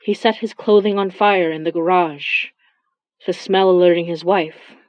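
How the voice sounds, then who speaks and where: Actress doing a tired anxious young woman, kinda low voice, hesitant and intimate, audio drama, bit muffled. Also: fairly even intonation, moderate pace, dry recording, close to an everyday voice. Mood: somber, reflective. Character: weary, anxious young woman with magic, confiding in family.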